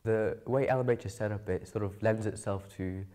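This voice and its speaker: A man's voice: on the low side, steady tone, fairly quick, warm tone. A young man from Scotland, an engineering student giving a casual interview. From Glasgow, Scotland. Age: young adult.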